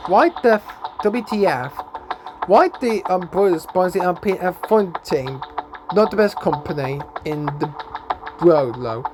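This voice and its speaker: A man giving casual gameplay commentary as a YouTuber, rambling and stumbling over his words, his voice hoarse and going. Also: high-pitched, animated, a little slow, noisy background. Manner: rambling, stumbling, tags lines with "eh?" and "then, guys". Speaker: gaming YouTuber. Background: game music.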